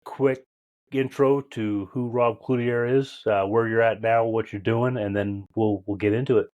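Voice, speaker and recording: A man's voice: medium pitch, fairly even intonation, unhurried, darkish tone, muffled recording. A man in his fifties who hosts a podcast, speaking in a reflective way and searching for his words as he introduces his guest. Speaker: podcast host. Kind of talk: casual interview conversation.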